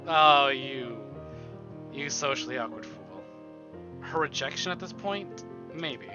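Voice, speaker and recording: A man's voice: mid-range voice, expressive, takes it slowly, dull audio. A man livestreaming a visual novel, reading the narration expressively and breaking into theatrical, exasperated asides. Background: game music.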